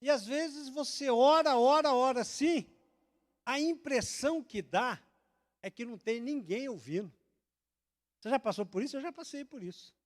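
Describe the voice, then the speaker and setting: A male pastor from Brazil with a notably high voice, preaching in a church. He swings from loud, stressed declarations to fast, hushed asides, and he answers his own questions to the congregation. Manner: swings between loud emphatic declarations and quick, low confiding asides; asks the congregation questions and answers them himself. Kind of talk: sermon. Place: church sanctuary.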